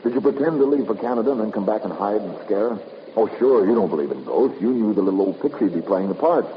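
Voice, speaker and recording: An actor with an American accent playing a tough, sardonic investigator in a 1950s radio drama, clipped and accusing. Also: male voice, lowish voice, animated, fast talker, natural voice. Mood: probing. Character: tough, wisecracking male private investigator. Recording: noisy, muffled 1950s radio recording.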